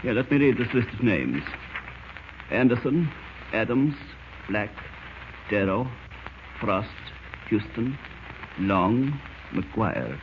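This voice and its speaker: A cunning, grandiose German spymaster from an old radio serial. The German accent is formal and deliberate, and the pitch swoops dramatically from hushed menace to gloating. The recording is dull and scratchy, with audible noise. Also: male voice, very animated, exaggerated voice. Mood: smug, businesslike. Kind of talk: 1940s radio acting.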